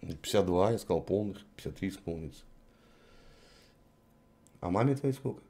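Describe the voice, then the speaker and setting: A man's voice: low-pitched, animated, normal speed, dark tone. A man in his forties interviewing a young woman on camera, teasing, condescending and sarcastic. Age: 40s. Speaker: street interviewer. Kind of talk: mocking street interview.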